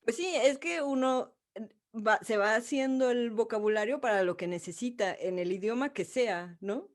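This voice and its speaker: A woman's voice: a little high, normal speed. A woman hosting an interview, thinking aloud in a reflective way. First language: Spanish. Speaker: host. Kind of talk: interview conversation.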